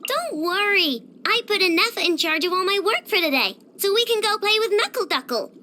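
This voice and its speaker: A woman's voice: very high-pitched, expressive, fairly quick, sharp tone, exaggerated voice. A woman voicing a childish, playful and bossy little girl inventor with a sweet tooth, as a video game character. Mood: cheerful, friendly.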